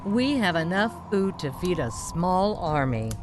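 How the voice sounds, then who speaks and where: A woman's voice: on the low side, big pitch swings, measured pace, noisy background. A woman playing a homely middle-aged wife. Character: middle-aged wife Teresa, warm hostess. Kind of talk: radio drama acting. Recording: music score behind.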